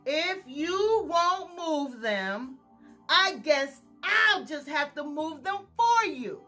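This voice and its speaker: A woman reading aloud, voicing a tough, unflappable woman librarian who speaks calmly and confidently. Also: high-pitched, drawn-out words, faint music behind, full character voice.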